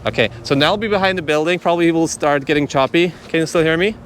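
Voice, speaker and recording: A man's voice: on the high side, fast talker, slight background noise. A man giving a casual, chatty product demonstration as a vlogger.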